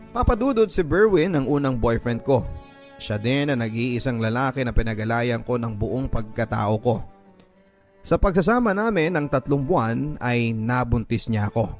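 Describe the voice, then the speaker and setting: A man with a Filipino accent reads a dramatic first-person story in an earnest, sentimental radio-drama style. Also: medium pitch. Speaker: radio drama narrator reading a listener's letter. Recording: music bed under the voice. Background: background music.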